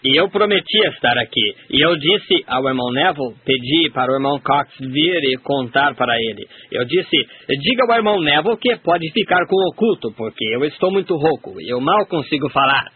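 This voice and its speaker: A man's voice: fairly high pitch, expressive, moderate pace, hardly any pauses, slightly rough, no echo. A man steadily reading a translated sermon aloud. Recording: tinny phone-like sound, faint hiss.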